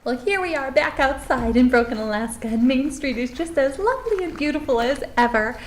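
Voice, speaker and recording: A woman's voice: sits high, lively intonation, fairly quick, a little hiss or hum. A woman playing a chirpy, confiding small-town tour guide narrating a comic mockumentary. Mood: upbeat, promotional. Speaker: documentary presenter.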